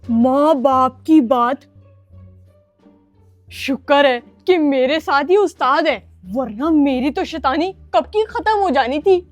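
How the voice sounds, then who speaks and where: A woman's voice: high voice, lively intonation, faint music behind. A woman playing a naive, bumbling young apprentice devil in a comic skit, earnest and eager to please. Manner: obedient, slow-witted, earnest. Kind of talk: comic family skit acting.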